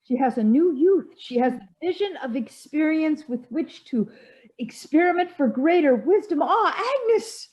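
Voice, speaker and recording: A bossy older society woman with a high voice, played by an actress. She holds forth in a grand, preachy manner, halting here and there for emphasis, as in a stage comedy. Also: animated, a little mellow, poor audio. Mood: indignant, preachy. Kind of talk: stage comedy acting over video call. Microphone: video call.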